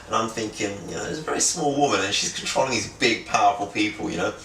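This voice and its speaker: A man, an actor being interviewed at home, telling stories in a lively way and often asking "right?". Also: fairly low pitch, fast, creaky, roomy sound, distant mic, slight background noise, rough recording. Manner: animated storyteller, repeats phrases, says "right?" often. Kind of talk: casual interview chat. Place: his home, indoors.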